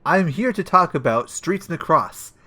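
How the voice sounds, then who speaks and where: A man's voice: a little high, lively intonation, unhurried, pushed and bright, full character voice. A man playing a timid, earnest, law-abiding detective who talks hesitantly in a comedy audio play. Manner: hesitant, awkward. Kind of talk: comedic audio drama acting.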